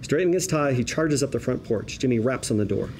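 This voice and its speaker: A man narrates a screenplay's action in scripted present tense at a table read. His medium-pitched delivery is vivid and wry, with some noise in the room. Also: lively intonation, fast, a little mellow. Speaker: stage directions reader.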